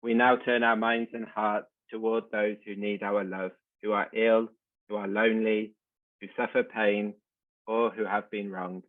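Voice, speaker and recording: A man's voice: on the low side, little pitch movement, measured pace. A man leading a religious service, reading a communal prayer. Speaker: service leader. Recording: thin phone-like sound.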